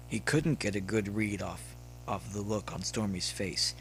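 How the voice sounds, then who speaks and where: A man reading a story aloud as narrator in a dramatic, expressive way. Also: lowish voice. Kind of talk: reading fan fiction aloud with character voices. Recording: clearly noisy background with hum.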